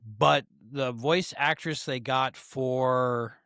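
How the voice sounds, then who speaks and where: A man's voice: medium pitch, extremely slow, fairly bright tone, dry recording, studio quality. Offhand, joking man co-hosting a podcast. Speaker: podcast co-host. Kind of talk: casual review chat.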